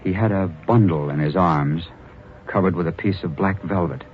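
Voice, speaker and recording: An actor playing a sober man recounting a case in vintage radio drama, measured and matter-of-fact. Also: low-pitched, natural voice. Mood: sober, factual. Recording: muffled, faintly noisy old radio recording.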